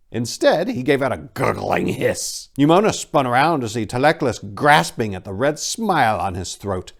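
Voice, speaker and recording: A man with a medium-pitched voice delivers a dramatic reading as narrator. His pitch moves widely, and his manner is emphatic and theatrical. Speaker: narrator and host.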